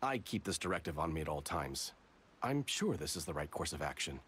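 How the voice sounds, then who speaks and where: An actor playing a dutiful, rule-bound soldier in a video game, speaking formally and carefully. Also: male voice, a bass voice, sing-song, fairly quick, a crowd in the background. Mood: officious. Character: dutiful male soldier, formal stickler for written rules. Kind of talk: video game character acting.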